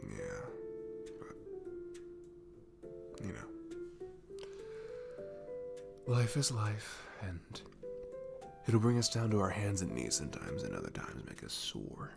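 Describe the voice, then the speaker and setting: A man's voice: sits low, wildly swooping pitch, unhurried, gravelly, pauses often, loud music behind the voice, exaggerated voice. A man playing a sweet, cute barista in an intimate ASMR roleplay, chatting in a rambling, self-deprecating and hesitant way. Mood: soothing, reflective. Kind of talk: intimate ASMR roleplay, soft improvised-sounding chat.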